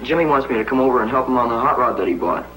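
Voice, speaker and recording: An eager, polite teenage son, played by a young man who asks for things hesitantly. His voice sits at an ordinary mid pitch. Heavy hiss covers the old TV soundtrack. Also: expressive, rapid-fire, natural voice. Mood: earnest. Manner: earnest, a little hesitant.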